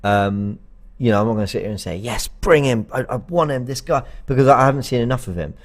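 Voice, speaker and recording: A man's voice: mid-range voice, fast talker. A man co-hosting a live football fan show, talking casually and rambling with lots of fillers and restarts. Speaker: fan channel co-host.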